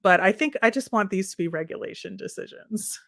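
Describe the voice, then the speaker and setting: A woman's voice: mid-range voice, brisk, vocal fry, a bit bright, dry recording, studio quality. A woman co-hosting a sports podcast, talking casually and conversationally, often hedging with little asides.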